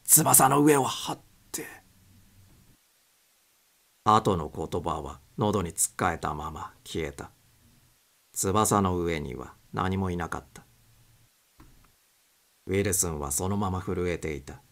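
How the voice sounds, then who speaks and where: Very low-voiced male storyteller. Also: measured pace, long pauses, a bit bright. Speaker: audiobook narrator. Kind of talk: scripted narration of suspense fiction.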